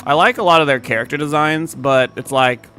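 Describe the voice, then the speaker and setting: A man's voice: fairly high pitch, brisk, sharp tone, clean tone, soft background music. A young man chatting casually to his stream audience, laid-back and profane, with many fillers and restarts. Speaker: game streamer.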